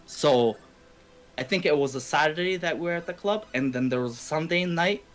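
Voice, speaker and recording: A man's voice: fairly high pitch, brisk. A young man from Canada telling a rambling, slangy, profanity-heavy story casually on a game stream. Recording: game music under the voice. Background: video game music.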